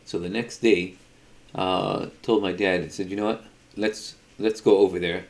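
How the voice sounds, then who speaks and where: A middle-aged man telling an unscripted personal story in a halting way, often repeating words as he goes. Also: low-pitched, fairly even intonation, gravelly. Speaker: storyteller, video creator.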